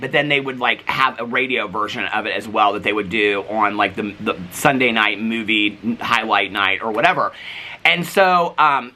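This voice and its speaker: A man talking casually to viewers as a vlogger, in an animated, rambling, gushing way. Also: mid-range voice. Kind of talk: casual, chatty vlog talk to viewers.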